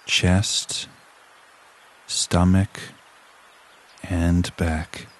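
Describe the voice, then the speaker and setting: A man narrating a scripted guided relaxation exercise in a calm, soothing, measured manner with long pauses. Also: sits low.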